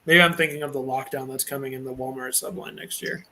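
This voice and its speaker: A man hosting a live show, chatting casually and enthusiastically, sometimes halting over his words. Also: mid-range voice, creaky, bright, forceful tone, a little hiss or hum. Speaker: host. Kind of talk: casual chat.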